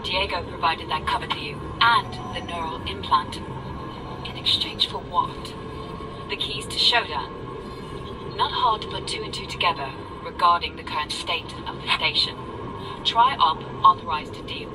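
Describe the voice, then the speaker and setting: A woman's voice: lowish voice, moderate pace, lightly acted. A woman playing a no-nonsense corporate staffer in a game audio log, businesslike, dry and blunt. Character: brisk, no-nonsense female corporate official on radio log. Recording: distant voice under loud music.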